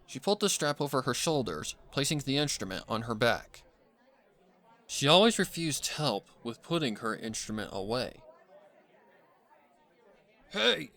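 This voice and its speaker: A man's voice: mid-range voice, bright, forceful tone. A man reading a scripted story aloud as narrator. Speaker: narrator, fan fiction reader.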